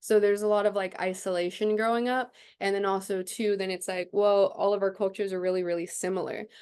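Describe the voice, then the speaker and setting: A woman's voice: mid-range voice, level tone, fairly quick, darkish tone, smooth. A young adult woman, a chiropractor, talking reflectively in a casual interview, often using fillers. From United States, raised partly in Guatemala. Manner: reflective, many fillers like "also too". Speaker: chiropractor guest.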